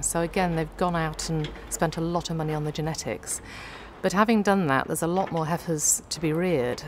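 Woman, middle-aged, low pitch, practical specialist, hesitant ums, indoor farm store, faint ambience. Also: slight background noise. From United Kingdom. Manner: frequent ums, practical and plain-spoken. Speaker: calf nutrition specialist. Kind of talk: explaining to an off-camera interviewer.

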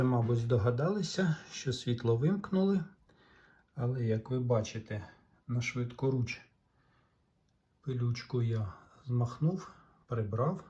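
A middle-aged man with a Ukrainian accent talks through a repair at his workbench. His voice is mellow and dark, and he speaks calmly, thinking aloud with small hesitations. The sound comes from the camera's own microphone. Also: mid-range voice, muffled recording. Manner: calm, explanatory, musing aloud with hesitations. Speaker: repair vlogger. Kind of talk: hands-on repair walkthrough.

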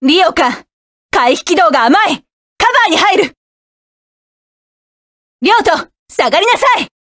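A woman's voice: very high voice, sing-song, measured pace, fairly bright tone, no room sound, full character voice. An actress voicing a proud, commanding adult woman mecha pilot, delivering curt battle lines for a video game. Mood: fierce, commanding. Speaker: game character.

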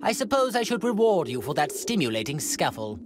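A man's voice: on the high side, sing-song, a little fast. An actor playing a haughty, sadistic male alien tyrant in an anime video game, speaking with mocking politeness and florid diction. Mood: smug, composed. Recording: loud music under the voice.

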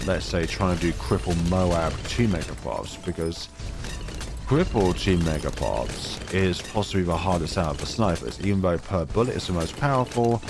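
A man of thirty with a deep voice and a cold, giving calm, rambling commentary over a game as its host. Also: background noise. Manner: calm, rambling, chatty tangents. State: has a cold. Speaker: gaming channel host. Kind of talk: relaxed gameplay commentary. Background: game music.

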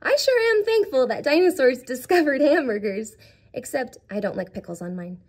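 High-voiced young woman hosting for kids. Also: expressive, moderate pace, a little mellow. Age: young adult. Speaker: host of children's reading channel. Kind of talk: chatty sign-off to young viewers.

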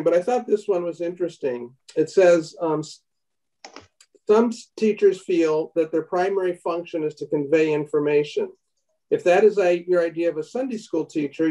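A man in his fifties leading a bible study on a video call, reading aloud from a book with halting restarts. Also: fairly high pitch, warm tone, recorded from a distance, rough recording. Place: home room indoors. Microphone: laptop webcam on a video call.

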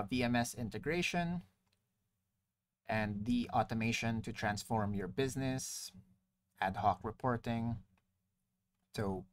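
A man presenting a software tutorial as a walkthrough voice-over, explaining and partly reading aloud, sometimes correcting himself. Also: mid-range voice. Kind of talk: software walkthrough narration, partly read from screen. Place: screen-recording voice-over.

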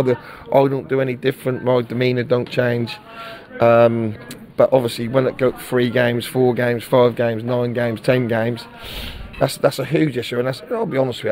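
A middle-aged football manager speaks frankly after a match. His voice sits at an ordinary middle pitch, and he repeats words and restarts his sentences as he works through his thoughts. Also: a little mellow. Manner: candid, repeats words while searching for them. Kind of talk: post-match interview.

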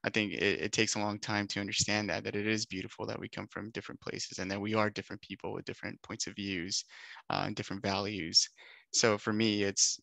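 A panelist speaks earnestly and reflectively on a video call, in a Los Angeles Mexican American accent. His voice is low and flat, with a gravelly edge. The sound is dry and close. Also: no echo, rough recording. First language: Spanish. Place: indoors at home.

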